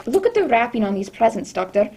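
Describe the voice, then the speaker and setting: A woman playing a motherly, gently scolding housekeeper in a radio drama. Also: a little high, very animated, fast. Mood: concerned. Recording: clear background noise.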